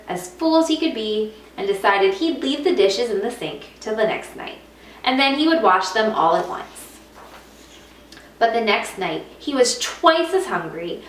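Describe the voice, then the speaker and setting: Woman, slightly high voice, children's read-aloud, expressive storytelling, roomy echo, faint background noise. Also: recorded from a distance. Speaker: art teacher reading a picture book to students.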